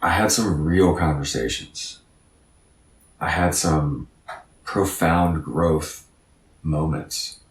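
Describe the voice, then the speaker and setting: A man's voice: rumbling low, takes it slowly, long pauses, echoey room, far from the mic. A man in his thirties giving an earnest, reflective motivational talk as a podcast guest, often repeating words as he thinks. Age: 30s.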